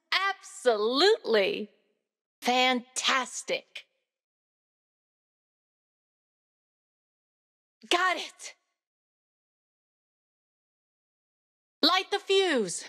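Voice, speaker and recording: An earnest, determined young warrior woman for an animated racing game. Her voice sits fairly high and swings in a lively way through short, spirited lines. A long reverb tail hangs on each phrase. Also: exaggerated voice. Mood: excited, exclaiming. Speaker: video game character voice.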